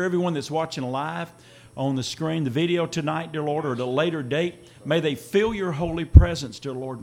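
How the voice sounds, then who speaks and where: A man with a voice raspy from an earlier service, leading a church prayer in a steady, repetitive cadence. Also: a little high.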